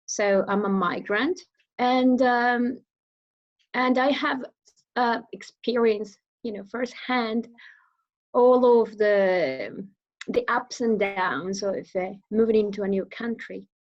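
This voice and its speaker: Woman from Venezuela, Spanish-accented English, slightly high voice, halting interview answers with lots of fillers, muffled audio. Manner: hesitant, many fillers and restarts. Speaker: guest speaker.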